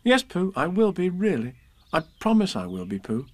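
A man puts on a little boy from a children's storybook, pitched high and swinging up and down. He is kindly and imaginative, speaking with faltering earnestness. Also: huge pitch swings, moderate pace, no room sound, heavily acted. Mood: wistful, earnest.

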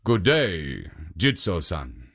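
A storyteller voicing a wicked, people-eating male ogre who talks gruffly and demands things. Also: deep voice, big pitch swings, slow pace, a bit bright, pitched below the performer's own voice, exaggerated voice.

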